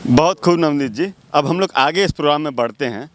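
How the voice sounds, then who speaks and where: A man's voice: a little high, fairly quick. A man presenting a show and interviewing in a formal, polished announcer manner.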